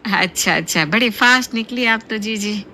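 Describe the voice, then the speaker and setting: A woman's voice: mid-range voice, moderate pace, bright, forceful tone, a little hiss or hum. A woman voicing a middle-aged Indian mother in a cartoon story, scolding and placating with exclamations. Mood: forced politeness. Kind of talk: Hindi cartoon story voice acting.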